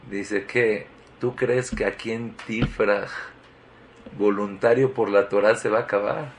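A man giving a Torah sermon, emphatic and repetitive, full of rhetorical questions. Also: medium pitch. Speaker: rabbi giving a Torah talk.

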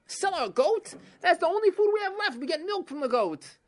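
A woman doing a shrill, frantic poor wife. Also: lively intonation, moderate pace. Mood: alarmed. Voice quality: shrill, exclaiming.

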